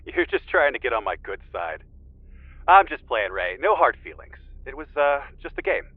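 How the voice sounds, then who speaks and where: An actor playing a mellowed, gently teasing Zen teacher, a guest heard through a call filter in an audio drama. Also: male voice, medium pitch, big pitch swings, normal speed, dry recording, narrow, tinny audio. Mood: easygoing. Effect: remote call filter. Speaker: podcast guest. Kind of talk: audio drama phone interview. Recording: band-limited, radio-like call sound.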